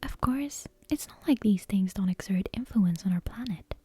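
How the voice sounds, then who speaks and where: A woman whispering a bedtime story in ASMR style, softly and expressively, taking her time over the phrases. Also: medium pitch, breathy edge, no echo. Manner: soft, unhurried, expressive whispering.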